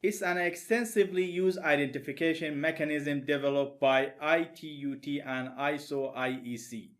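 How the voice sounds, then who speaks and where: A man's voice: on the high side, steady tone, moderate pace. Accented man explaining networking slides. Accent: non-native English accent. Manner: methodical, plain explanatory delivery. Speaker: networking instructor. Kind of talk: recorded technical lecture over slides.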